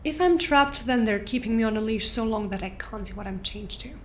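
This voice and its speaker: A woman's voice: a little high, a little fast, a little hiss or hum, natural voice. A woman playing an unrepentant, smugly amused exiled doctor in an audio drama, articulate and theatrical with a sardonic edge. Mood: smug, defiant.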